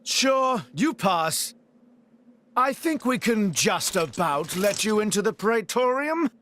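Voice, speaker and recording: A man's voice: high-pitched, very animated, slow pace, a little hiss or hum, full character voice. A man voicing a cocky, flamboyant crown prince and swordsman in a video game, boastful, teasing and theatrical. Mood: breezy. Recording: loud music under dialogue.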